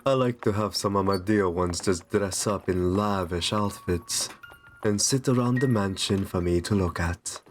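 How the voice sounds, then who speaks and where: A man playing a courteous, possessive vampire master in an ASMR roleplay, soft-spoken, soothing and reassuring with genteel endearments. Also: sits low, measured pace, a little hiss or hum. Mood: genteel, persuasive. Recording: music under the voice. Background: background music.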